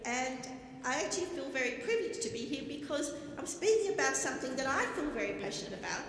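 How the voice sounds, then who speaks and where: A woman with a squeaky voice giving a conference presentation as a business librarian, enthusiastic and self-deprecating, with frequent ums. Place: large lecture hall. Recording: echoey hall, faint noise.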